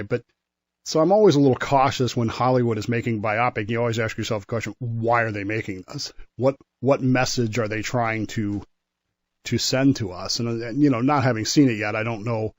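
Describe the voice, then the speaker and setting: Dry-sounding American man, slightly low voice. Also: no echo. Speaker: history segment co-host. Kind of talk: casual radio talk.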